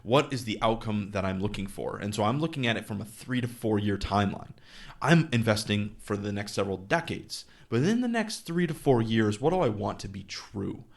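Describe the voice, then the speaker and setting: A man in his thirties with a low voice that often drops into vocal fry. He explains his money goals in an easygoing, off-the-cuff way, restarting sentences and slipping into quoted back-and-forth with the people he advises. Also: lively intonation, fairly quick. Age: 30s. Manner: conversational, thinks aloud with fillers and restarts; acts out dialogue with clients in quotes. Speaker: investing channel host. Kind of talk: casual explainer monologue.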